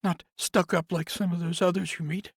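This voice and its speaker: A man's voice: high-pitched, flat delivery, moderate pace, slightly rough, dry recording, studio quality, voice pushed up. A man voicing a grieving woman in her fifties, an old family nurse, in a tearful, wavering voice for an audiobook. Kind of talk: audiobook character voice.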